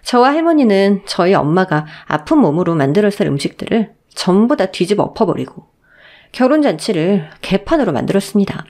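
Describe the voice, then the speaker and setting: A woman's voice: medium pitch, very animated, a little fast, darkish tone. A woman narrating a listener's story in the first person, in an indignant storytelling manner. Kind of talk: scripted narration of a reader's story.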